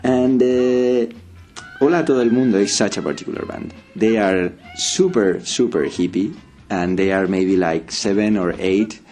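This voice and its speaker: A man's voice: lowish voice, normal speed, dark tone, soft background music. A man with a Spanish accent and Spanish as his first language, chatting casually as a co-host and repeating words as he searches for phrasing. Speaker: radio show co-host. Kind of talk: casual chat.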